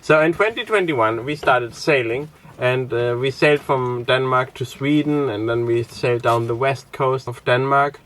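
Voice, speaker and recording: Relaxed young man, hesitant vlog talk. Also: medium pitch, normal speed, few pauses. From Greece. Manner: relaxed, hesitant with many fillers and restarts. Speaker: sailing vlog host. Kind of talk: casual vlog narration.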